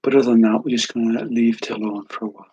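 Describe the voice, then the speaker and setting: Meditation guide, male. Soothing, breathy delivery with very little pitch movement, gentle pauses, intimate dry recording. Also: dry recording, highs cut off. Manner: calm, unhurried, soothing, with long pauses. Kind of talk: guided meditation, slow spoken instruction.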